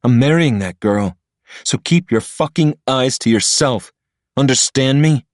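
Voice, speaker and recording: A man's voice: mid-range voice, animated, measured pace, no echo, studio quality, voice pushed up, close to an everyday voice. A man voicing a smug, pompous young man who sneers and blusters at his rival. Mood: sneering. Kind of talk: audiobook character voice.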